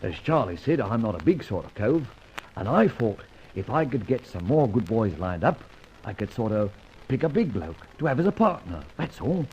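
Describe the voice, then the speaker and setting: A man's voice: lowish voice, sing-song, moderate pace, a little mellow. An actor playing a male detective who poses as a boastful small-time crook, theatrical and wry in old-time radio detective style. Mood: boastful, cocky. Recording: muffled, hissy old radio recording.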